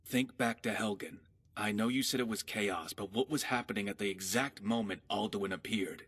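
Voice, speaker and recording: A man's voice: on the low side, normal speed, a bit bright, slightly rough, dry recording. A man voicing a sardonic but loyal pirate companion in a fantasy video game, with a dry delivery. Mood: wry, concerned. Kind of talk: scripted fantasy game dialogue.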